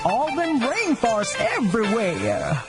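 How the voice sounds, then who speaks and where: A woman's voice: a little high, huge pitch swings, unhurried. A woman playing a folksy, scolding forest dweller in an adventure game, drawling in slang. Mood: laid-back scolding. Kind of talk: cartoonish adventure game voice acting. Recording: loud music behind the voice.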